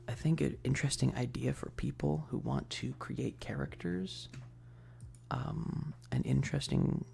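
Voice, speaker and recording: A man streaming casual ASMR chat in a rambling, hushed way, often dropping toward a whisper, with a gravelly voice. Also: medium pitch, a little hiss or hum.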